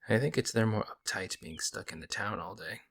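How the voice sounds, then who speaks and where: Low-voiced, teasing man as a friendly male werewolf. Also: a little fast, creaky, a bit bright, slightly rough, heavily acted. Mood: reassuring, protective. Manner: playful, teasing, casual talk to the listener. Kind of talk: ASMR audio roleplay.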